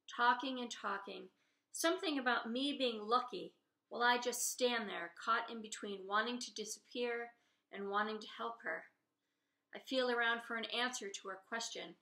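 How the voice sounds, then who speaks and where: A woman's voice: a little high, sharp tone. A woman author reading her children's novel aloud expressively, with informal asides. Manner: openly fond of the characters. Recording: roomy, echoey room sound.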